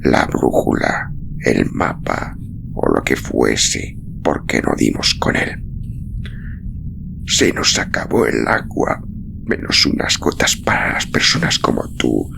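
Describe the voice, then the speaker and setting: A man playing an exhausted, gently protective traveler near death in a storybook reading, murmuring slowly in a low, breathy, gravelly voice. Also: huge pitch swings, exaggerated voice. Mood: weary, explaining. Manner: slow, halting, tender. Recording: clearly noisy recording.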